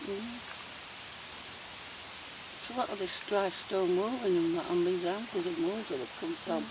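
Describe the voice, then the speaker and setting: A woman's voice: lowish voice, measured pace. Distant woman in the rain. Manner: conversational, speculating aloud. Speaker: paranormal investigator. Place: outdoors at a woodland waterfall site. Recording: distant hissy outdoor recording with rain.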